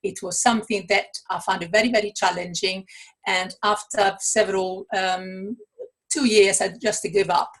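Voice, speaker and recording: Italian-accented woman, gravelly and husky. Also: mid-range voice, little pitch movement, normal speed, rough recording. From Italy. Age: middle-aged. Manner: thoughtful, with many fillers and restarts. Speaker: author and coach. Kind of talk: interview answers.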